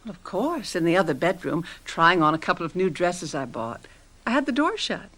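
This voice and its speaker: A bubbly, cheerful wife who talks in a lilting sing-song. Her voice is bright and swoops widely up and down. Radio-drama acting. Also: on the low side, sing-song, moderate pace. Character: cheerful wife trying on new dresses.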